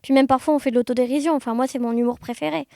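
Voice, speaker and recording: A woman's voice: sits high, fairly even intonation, very fast, vocal fry, mellow, no room sound. A woman chatting informally and animatedly as an interview guest. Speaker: interview guest, amateur audio fiction maker. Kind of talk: casual conversational interview.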